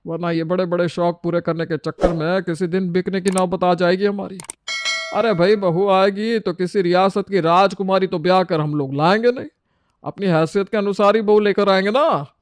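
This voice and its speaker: A man's voice: high-pitched, clean tone, dry recording, close to an everyday voice. A man playing an exasperated, thrifty middle-aged Indian husband in a story, lecturing and sarcastic.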